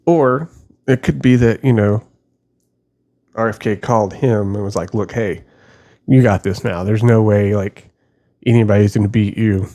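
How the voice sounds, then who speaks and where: A male video host with a lowish, creaky voice and a mellow tone reacts off the cuff. His delivery is loose and tentative, like someone thinking aloud in casual commentary. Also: normal speed, pauses often.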